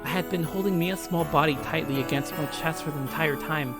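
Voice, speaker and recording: A man's voice: on the high side, fairly quick, loud music behind the voice. A man hosting a let's play, reading a visual novel aloud in a dramatic way and swearing casually.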